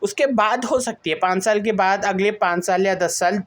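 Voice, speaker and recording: A woman's voice: medium pitch, normal speed. A woman with an Indian accent giving an explanatory lecture, mixing in English terms and rephrasing each point step by step in a didactic way. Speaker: teacher.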